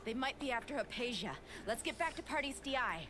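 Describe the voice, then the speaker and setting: A woman's voice: fairly high pitch, lively intonation, brisk, natural voice. A woman playing a serious, watchful young adventurer with a concerned air in a video game. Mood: alert, concerned. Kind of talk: scripted video game voice acting. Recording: game audio with clear background noise.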